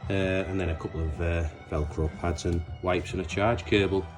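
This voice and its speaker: A man's voice: low voice, steady tone, normal speed, soft background music. A man giving a casual, unscripted how-to demonstration in a matter-of-fact, hesitant way as he works with his hands. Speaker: presenter of a DIY installation tutorial.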